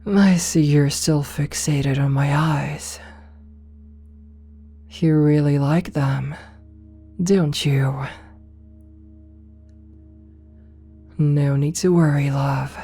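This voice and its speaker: A woman acts out a seductive, domineering lady CEO for an ASMR roleplay. Her voice is low, dark and mellow, soft and breathy to the edge of a whisper. She talks slowly and hypnotically, coaxing the listener with condescending, possessive affection. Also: fairly even intonation, faint music behind, full character voice. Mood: calm, satisfied. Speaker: voice actor in an audio roleplay. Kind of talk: ASMR roleplay, intimate slow address to listener.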